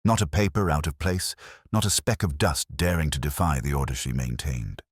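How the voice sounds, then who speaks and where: A man's voice: extremely low pitch, moderate pace, husky, dry recording, clean studio sound. A man reading scripted story narration in a formal, literary storytelling manner.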